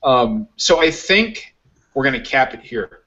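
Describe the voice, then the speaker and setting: A man's voice: mid-range voice, very animated, measured pace, pushed and bright, dull audio. A man hosting a casual podcast, rambling and joking about himself. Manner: rambling, self-mocking, joking. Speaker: podcast host. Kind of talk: casual chat.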